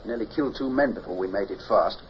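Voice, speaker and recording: An actor playing an earnest, outspoken naval lieutenant, emphatic and blunt, in period radio drama style. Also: male voice, fairly low pitch, close to an everyday voice. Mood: respectful. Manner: emphatic, blunt, hesitant pauses. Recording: dull old radio recording with hiss.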